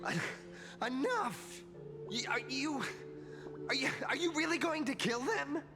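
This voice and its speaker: An actor playing a shaken, anxious young would-be hero in a video game, speaking in a halting, hesitant way. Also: male voice, very high voice, expressive, over music. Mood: shocked.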